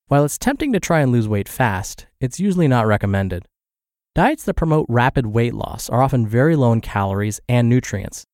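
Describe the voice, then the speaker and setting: A man's voice: medium pitch, darkish tone, no echo, clean studio sound. Congested male podcast narrator. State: congested and scratchy from the flu. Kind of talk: reading a health blog aloud.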